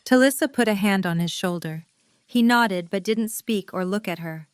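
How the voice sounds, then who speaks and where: A woman delivers scripted story narration. Her voice is mid-pitched, and the sound is close and very dry, with no room echo. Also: moderate pace, smooth.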